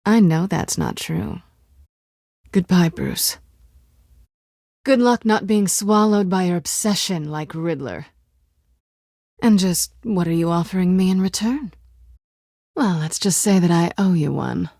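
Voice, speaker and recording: An American woman playing a sly, guarded cat-burglar in a video game, teasing and coolly wry, with a husky, breathy voice. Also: fairly low pitch, measured pace, long pauses, warm tone, no room sound, clean studio sound.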